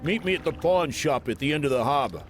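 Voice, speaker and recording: A man playing a streetwise, gruffly friendly pawn shop owner in a video game. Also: fairly high pitch, animated, fast, faint music behind, natural voice. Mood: amused, warning. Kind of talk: video game cutscene dialogue.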